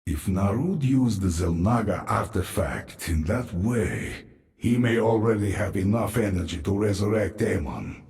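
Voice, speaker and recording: A man's voice: on the low side, very animated, a little slow, creaky, darkish tone, heavily acted. A man playing a grave, solemn alien advisor in a video game cutscene, giving portentous counsel. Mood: ominous. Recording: echoing sound with game music behind.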